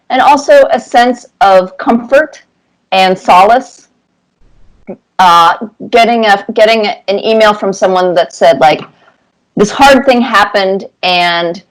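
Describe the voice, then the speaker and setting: Middle-aged woman, interview guest. Conversational, thoughtful, picks her words deliberately. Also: medium pitch, rough recording.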